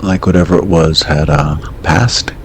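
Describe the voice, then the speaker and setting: An actor playing a calm late-night call-in radio host who asks short, probing questions. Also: male voice, a bass voice, moderate pace, a little hiss or hum.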